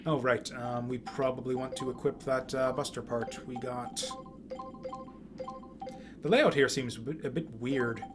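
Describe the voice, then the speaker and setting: A man giving casual, rambling video game commentary, often halting and repeating words as he goes. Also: medium pitch, steady tone, music in the background. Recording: clear background noise.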